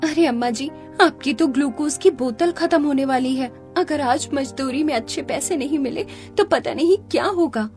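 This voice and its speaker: High-pitched, earnest young village mother voiced by actress. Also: normal speed, hardly any pauses, soft background music. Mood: worried. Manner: earnest, pleading, gentle with family. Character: poor, devoted young village wife and mother, anxious, pleading. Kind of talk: Hindi cartoon story dialogue.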